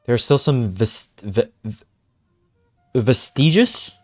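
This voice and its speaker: Excitable, animated young male streamer. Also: medium pitch, slow pace, faint music behind. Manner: excitable, slangy, exclamatory. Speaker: streamer reacting to a game. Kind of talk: casual live gameplay reaction.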